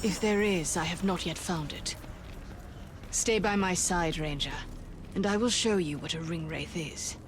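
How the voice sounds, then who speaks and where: An actress playing a guarded, steely warrior woman in a video game, speaking in a cool, measured, serious way. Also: lowish voice, a little hiss or hum, close to an everyday voice. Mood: guarded, resolute. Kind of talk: dramatic video game dialogue.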